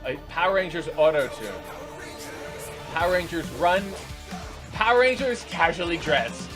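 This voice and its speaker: High-voiced man riffing sarcastically over music. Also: lively intonation, a little slow. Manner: exasperated, sarcastic, incredulous riffing. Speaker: reaction video host. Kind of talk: unscripted running commentary reacting to video clips.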